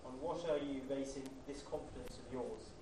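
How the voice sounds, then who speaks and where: A man from the study group reading a long Bible passage aloud in a steady, plain way. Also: medium pitch, normal speed, background noise. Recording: distant, echoey room mic.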